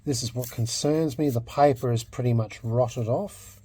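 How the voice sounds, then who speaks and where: A man talking unscripted as a hobbyist vlogger, in a halting way, often repeating and rephrasing himself. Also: mid-range voice, warm tone, husky. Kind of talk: unscripted restoration vlog talk.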